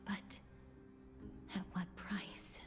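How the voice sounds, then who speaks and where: Soft, solemn young heroine. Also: female voice, whispered, over music. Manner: soft, measured, formal, breathy at times. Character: calm, solemn young woman, courteous and grave. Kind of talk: anime-style game voice acting.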